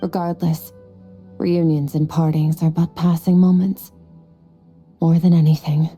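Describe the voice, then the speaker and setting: A woman voicing an aloof, solemn, ageless swordswoman in a video game, speaking in a measured, philosophical way. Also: low voice, husky, exaggerated voice. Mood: gentle, reserved. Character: aloof, solemn, centuries-old swordswoman who looks youthful. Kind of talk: video game cutscene voice acting. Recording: soft background music behind the voice.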